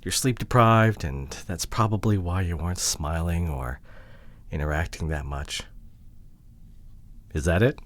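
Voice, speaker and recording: A man playing a hurt, introverted, awkwardly apologetic boyfriend in an ASMR roleplay audio drama, speaking haltingly and close to the microphone. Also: low voice, no room sound. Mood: hurt, earnest. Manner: hesitant, halting, sighing delivery with soft drops. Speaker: voice actor. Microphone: close microphone, dry.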